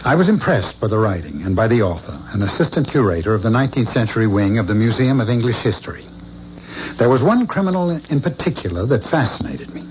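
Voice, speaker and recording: An actor playing a troubled man haunted by visions of a killer, intense and halting when shaken, in a 1970s radio drama. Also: fairly low pitch, very animated, darkish tone. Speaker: lead character. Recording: dull lo-fi radio broadcast with faint hiss.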